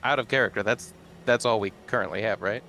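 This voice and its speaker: A man's voice: fairly low pitch, fast, sharp tone, a little hiss or hum. A man playing a tabletop game, speaking in a casual, polite way in and out of character. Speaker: player.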